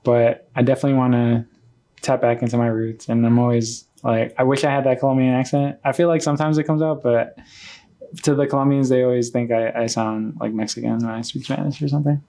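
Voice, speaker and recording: A young man hosting a casual podcast, speaking hesitantly and softly with many fillers. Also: mid-range voice, level tone, a little fast. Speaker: podcast host.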